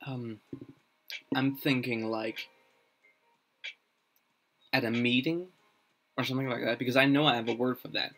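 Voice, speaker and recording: A young man, a native Danish speaker, talks slowly and unhurriedly on a live stream, at an ordinary mid pitch. He thinks aloud, halting often with fillers and restarts. He is recorded at a desk in a small room. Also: fairly even intonation, fairly bright tone. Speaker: streamer building a constructed language.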